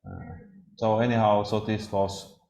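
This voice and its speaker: Halting Swedish man, deepish voice. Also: little pitch movement, darkish tone. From Sweden. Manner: halting, hesitant, frequent restarts. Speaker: lecturer. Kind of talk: academic discussion. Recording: roomy echo.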